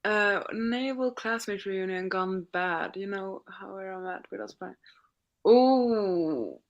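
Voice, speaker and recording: A woman's voice: mid-range voice, takes it slowly, muffled recording. A woman co-hosting a live stream, talking in a casual, conversational way.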